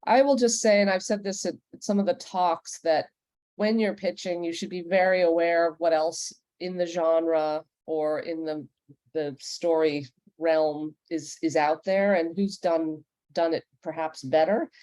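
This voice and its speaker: A woman's voice: on the low side, steady tone, a little slow, mellow, dry recording. A woman moderating a panel discussion, giving plain-spoken advice in a conversational way and putting questions to the panelists. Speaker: panel moderator and podcast executive.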